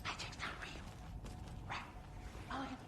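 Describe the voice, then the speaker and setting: A woman playing a rattled, sceptical small-town police deputy in a game, halting and repeating herself. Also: echoey room, noisy background, natural voice. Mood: flustered disbelief.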